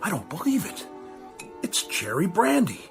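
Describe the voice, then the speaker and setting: A gruff man reeling in disbelief, his gravelly voice jumping high with shock, in a radio play with music behind. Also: wildly swooping pitch, moderate pace, exaggerated voice. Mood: astonished. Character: astonished gruff man named Dundee.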